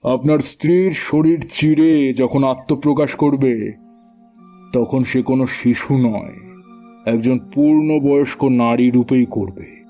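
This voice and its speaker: Man playing grave humble tantric, middle-aged, slow speech, soft dark timbre, ominous horror radio play, music bed. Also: medium pitch. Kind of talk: Bengali audio horror drama acting. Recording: background music under the voice.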